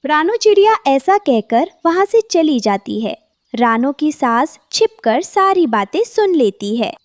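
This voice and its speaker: Female story narrator, high pitch, lively intonation, scripted cartoon storytelling, dry sound. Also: a little slow, dry recording.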